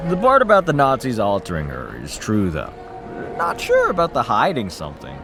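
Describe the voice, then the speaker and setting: An actor playing a cheeky, tipsy, carefree brother in a noir video game, with a playful, mocking, theatrical drawl. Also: male voice, mid-range voice, wildly swooping pitch, a little fast, vocal fry, over music. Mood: mock-serious. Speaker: video game supporting character. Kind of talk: scripted visual novel voice acting.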